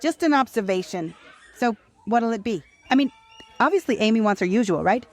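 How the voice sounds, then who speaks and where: A woman's voice: on the high side, animated, brisk, faint music behind, natural voice. A middle-aged woman playing a teasing, motherly diner owner who drops Spanish endearments.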